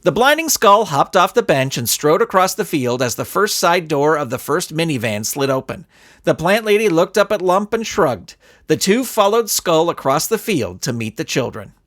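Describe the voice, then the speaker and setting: A man reading a scripted story aloud in a theatrical, expressive storytelling manner. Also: a little high, moderate pace. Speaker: narrator and author reading his own story. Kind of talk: animated scripted audiobook narration.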